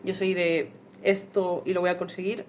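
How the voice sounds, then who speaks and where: A woman's voice: fairly low pitch, flat delivery, moderate pace, a little hiss or hum. A woman with a Castilian accent from Spain, talking casually as a voice actor being interviewed. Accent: Spanish (Spain). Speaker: amateur voice actor.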